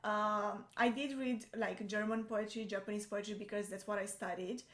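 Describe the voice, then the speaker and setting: A woman's voice: medium pitch, fairly even intonation, moderate pace, a bit bright. A young adult woman, a native Romanian speaker, chatting in English as a co-host, hesitant and full of fillers. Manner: hesitant, many fillers and restarts. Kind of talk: casual chat. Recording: echoey room.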